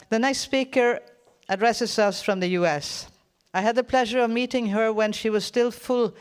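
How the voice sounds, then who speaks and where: Clear, high-voiced man moderating a panel. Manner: conversational, with frequent fillers. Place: seminar hall with audience.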